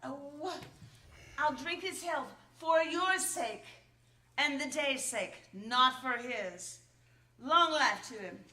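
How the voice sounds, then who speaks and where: A woman playing a brisk, protective, sharp-tongued mother of a poor family in a staged radio drama. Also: high-pitched, heavily acted. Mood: indignant. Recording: echoey live stage recording.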